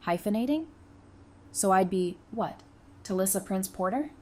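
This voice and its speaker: A woman's voice: medium pitch, expressive, moderate pace, warm tone, close to an everyday voice. A woman playing a feisty, affectionate, independent bride in an audio drama, speaking in a casual, frank, teasing way. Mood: thoughtful, affectionate.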